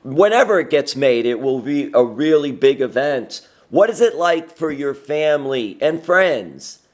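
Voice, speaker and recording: A man's voice: medium pitch, expressive, measured pace. A man hosting a conversational sports interview, asking long, encouraging questions. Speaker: interviewer, host.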